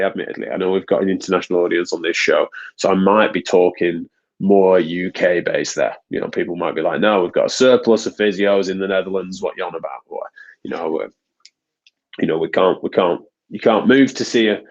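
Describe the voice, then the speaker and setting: Man, low gravelly voice, nasal and stuffy, chatty rambling host, dull lo-fi sound. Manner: rambling, self-deprecating, chatty. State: slightly stuffy nose. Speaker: podcast host. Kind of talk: casual solo live show.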